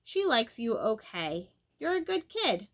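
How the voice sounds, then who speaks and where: Woman playing a bright-voiced, casual young schoolboy. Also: on the high side, sing-song, measured pace, heavily acted. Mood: reassuring. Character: young schoolboy classmate, casual, gruffly friendly rival.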